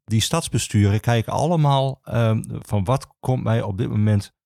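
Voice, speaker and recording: A low-voiced man with a creaky, mellow tone, explaining in an interview. He picks his way through sentences haltingly, often correcting himself. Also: no echo, clean studio sound. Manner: halting, hesitant phrasing with self-corrections. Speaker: archivist, podcast guest. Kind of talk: explanatory interview talk on history.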